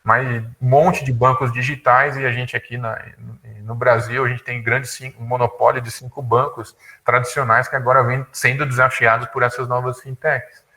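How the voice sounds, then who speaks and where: A man of about thirty gives an informal talk, colloquial and halting, often repeating words as he thinks. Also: mid-range voice, little pitch movement, pushed and bright, poor audio. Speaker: guest speaker, tech entrepreneur. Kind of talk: informal talk at an online conference.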